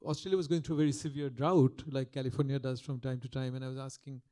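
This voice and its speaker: Soft-spoken older man lecturing. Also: medium pitch, steady tone, fast. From Calcutta, India. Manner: soft-spoken, reflective, with frequent restarts. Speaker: guest lecturer. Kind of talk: public lecture. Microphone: podium microphone.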